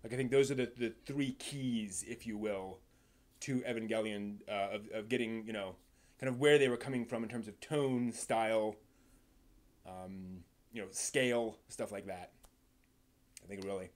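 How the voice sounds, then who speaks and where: Man rambling casually on a live stream. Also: on the low side. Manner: halting and rambling, with repeated words and trailing thoughts. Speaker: live stream host and anime reviewer.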